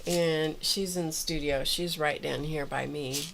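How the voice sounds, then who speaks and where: A woman with a notably low voice talks casually while crafting, thinking aloud as she goes. Her voice sounds a little congested. A faint hiss sits under the recording. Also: fairly even intonation, a little slow, gravelly. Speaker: livestream art host. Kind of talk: casual craft livestream chat. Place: home art studio.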